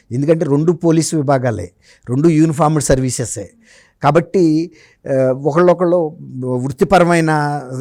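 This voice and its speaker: Male political pundit, soft mellow tone, dry studio-like sound, conversational and explanatory. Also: mid-range voice, no room sound. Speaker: journalist giving political analysis as interview guest.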